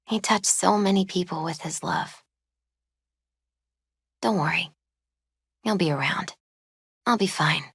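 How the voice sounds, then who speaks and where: A woman playing a gentle, spiritual young tarot reader in a video game, soft-spoken, unhurried and often hushed. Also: on the low side, creaky, husky, dry recording, clean studio sound, full character voice. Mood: wistful.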